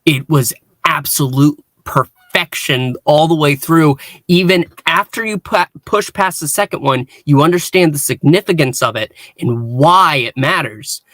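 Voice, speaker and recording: A man in a casual fan discussion, speaking earnestly and emphatically with halting pauses. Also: fairly high pitch, a bit bright, no room sound. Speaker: podcast panelist.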